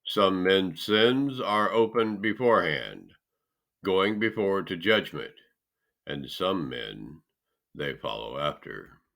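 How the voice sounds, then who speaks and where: A man reading scripture aloud in a solemn style, with emphatic, deliberate phrasing and pauses. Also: sits low, lively intonation, vocal fry, a bit bright. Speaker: scripture reader.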